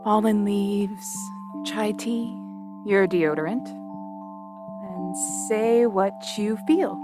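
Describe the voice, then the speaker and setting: An actress playing a playful, affectionate young wife in intimate audio drama dialogue. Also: female voice, medium pitch, drawn-out words, over music. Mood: playful, tender. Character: playful, affectionate wife, keen amateur photographer.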